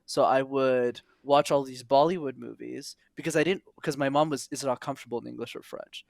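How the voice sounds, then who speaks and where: A man's voice: mid-range voice, steady tone, fairly quick, gravelly, darkish tone, no echo. Young man telling stories, dry sound. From Montreal, Canada. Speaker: podcast guest. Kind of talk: casual interview.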